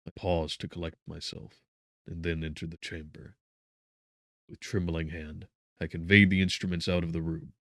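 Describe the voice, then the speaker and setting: A man narrating a gothic novel as a scripted audiobook, with measured, dramatic phrasing. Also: low voice, no room sound, studio quality.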